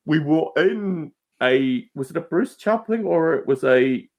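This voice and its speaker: A man's voice: fairly high pitch, lively intonation, unhurried, muffled recording. A man chatting casually as a podcast guest, telling digressive anecdotes with emphatic asides.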